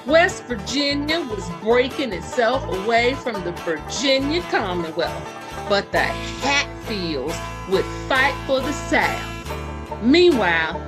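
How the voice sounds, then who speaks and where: High-pitched, solemn woman narrator. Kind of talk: dramatic scripted narration of a radio drama. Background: guitar music.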